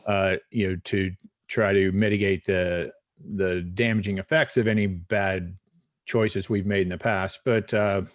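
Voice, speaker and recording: Low-voiced Texan doctor, rambling. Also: male voice, fairly even intonation, unhurried, no room sound, clean studio sound. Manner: rambling, many fillers and restarts, wry. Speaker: physician guest. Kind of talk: conversational interview answers.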